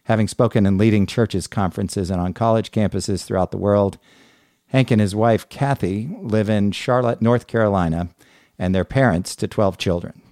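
A man's voice: fairly low pitch, moderate pace, a little mellow, no echo, clean studio sound. A middle-aged man hosting an interview podcast. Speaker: podcast host.

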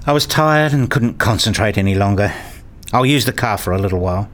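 A man playing an earnest, pedantic husband and father who narrates his day in a radio play, with a deliberate, fussy manner. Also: lowish voice, animated, fairly bright tone. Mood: weary. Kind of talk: radio play dialogue and first-person narration.

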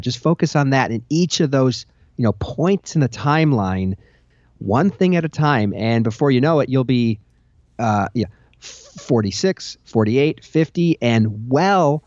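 Measured male co-host on a dull line. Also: medium pitch, animated, darkish tone, no echo. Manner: measured, practical advice-giving. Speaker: podcast co-host. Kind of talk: casual podcast chat.

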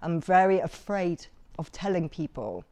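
A woman's voice: lowish voice, big pitch swings, a little slow, darkish tone. A woman guest in a casual interview, chatty, with frequent fillers and easy laughter.

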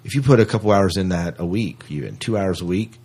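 A man's voice: low voice, fast, a little mellow, breathy edge. A man hosting a podcast in casual chat, rambling along and often rephrasing himself. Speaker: podcast host.

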